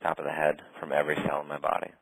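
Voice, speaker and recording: A man guiding a tapping session in a calm, steady way, naming tapping points and saying short phrases for the other person to repeat. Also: extremely low pitch, creaky, slight background noise, rough recording. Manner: calm, slow, leads phrases for repetition. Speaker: tapping practitioner.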